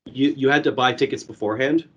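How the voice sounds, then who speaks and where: Hoarse, rambling young man. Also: medium pitch, fast, fairly bright tone, dull audio. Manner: rambling, profane, lots of fillers. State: hoarse, losing his voice. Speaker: movie reviewer guest. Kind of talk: casual podcast chat over a call.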